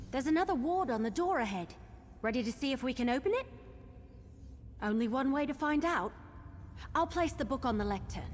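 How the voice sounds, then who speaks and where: An actress playing an eager, plucky young female adventurer in a video game, chatty, excitable and expressive. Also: high-pitched. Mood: curious, eager. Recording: long hall reverb, game ambience noise.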